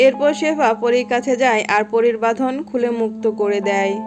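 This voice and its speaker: Woman narrator for a kids fairy tale cartoon, high voice, soft music behind. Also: a little fast. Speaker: story narrator.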